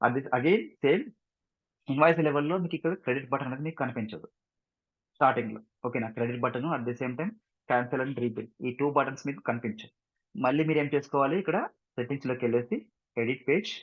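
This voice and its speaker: Husky Telugu man teaching software, dull audio. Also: mid-range voice, fast. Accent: Indian, Telugu. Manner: instructional, repeatedly checking understanding with short questions. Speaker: software trainer. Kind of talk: step-by-step screen-share tutorial, mixing two languages. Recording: dull, roomy lo-fi screen recording.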